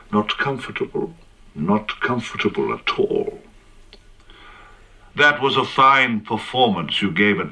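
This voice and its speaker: A man's voice: lowish voice, creaky, pushed and bright, heavily acted. An actor playing a boozy, flippant British man telling his story under questioning in a radio drama, wry, clipped and theatrical.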